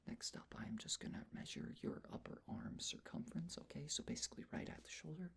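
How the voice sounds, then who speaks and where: Casting: ASMR performer, very breathy whisper with a lilting delivery, gentle and reassuring personal-attention roleplay. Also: mid-range voice, wildly swooping pitch, brisk. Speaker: ASMR performer playing a measurer taking face and body measurements.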